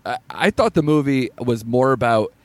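A man's voice: mid-range voice, animated, normal speed, no room sound. A man hosting a casual podcast about a new film, laughing often as he reads out the plot. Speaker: podcast host. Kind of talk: casual film review chat.

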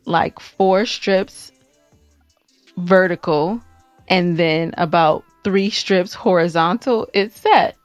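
A woman's voice: fairly low pitch, takes it slowly, dry recording, faint music behind. A woman co-hosting a podcast in casual banter, with a playful, teasing way of talking. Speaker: podcast co-host.

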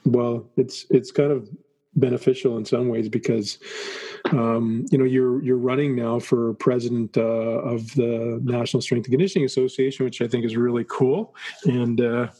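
A man hosts a podcast, his voice slightly husky and of middling pitch. He talks in an enthusiastic, rambling way and often restarts his sentences. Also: fairly even intonation, normal speed, dark tone. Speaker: podcast host. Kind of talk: casual interview.

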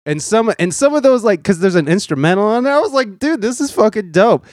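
A man hosting a podcast, talking excitably with lots of swearing, laughter and stumbling restarts. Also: high-pitched, fast talker, dry recording, studio quality. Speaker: podcast host. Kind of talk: casual podcast conversation.

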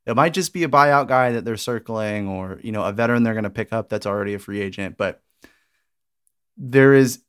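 A man co-hosting a sports podcast in casual, conversational chat. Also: lowish voice. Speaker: podcast co-host.

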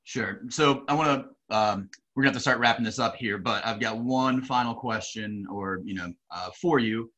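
A man's voice: mid-range voice, normal speed, fairly bright tone, dull audio. A man hosting an interview, asking questions in a hesitant way with many fillers. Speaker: interview host.

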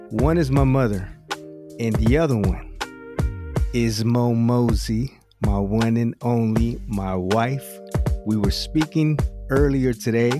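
Male interview host, medium pitch, deliberate and conversational, over clearly audible music. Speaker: show host. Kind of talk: conversational interview, personal introduction.

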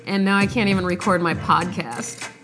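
Low-voiced woman, chatty and self-mocking, voice rough from a sinus infection, solo in a home studio. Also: steady tone, moderate pace, over music. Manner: chatty, self-deprecating, joking. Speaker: podcast host reading poems. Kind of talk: casual chat and poetry reading.

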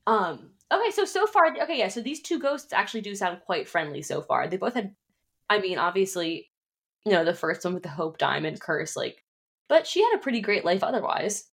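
A woman's voice: mid-range voice, sing-song, brisk, vocal fry, a bit bright, husky. Chatty young woman co-host. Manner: chatty reactions, filler-heavy. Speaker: podcast co-host. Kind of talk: casual chat.

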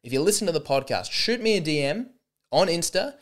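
A man's voice: a little high, big pitch swings, brisk. A young man hosting a solo comedy podcast, rambling and sarcastic with many false starts.

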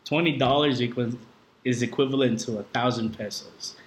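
A man's voice: mid-range voice, fairly even intonation, moderate pace. Casual man in an echoey room. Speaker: podcast guest. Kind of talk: casual conversation. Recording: echoey room with faint background noise.

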